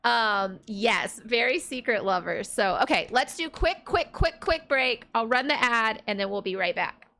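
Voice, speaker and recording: A woman's voice: fairly high pitch, animated, measured pace, sharp tone. A woman streaming a life-sim game, chatting casually and rambling with lots of fillers, her voice congested. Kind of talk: casual let's play chat.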